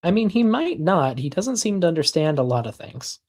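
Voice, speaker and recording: A co-host on a stream, speaking at a middling pitch. The talk is easy and casual, with relaxed, wry short replies. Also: moderate pace. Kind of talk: casual chat.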